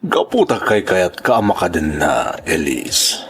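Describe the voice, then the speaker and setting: A man's voice: deep voice, huge pitch swings, a little slow, slightly rough, heavily acted. A man with a Filipino accent playing a suave, reassuring businessman in his fifties in a radio-style drama. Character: Sebastian, rich, suave businessman past fifty, courting.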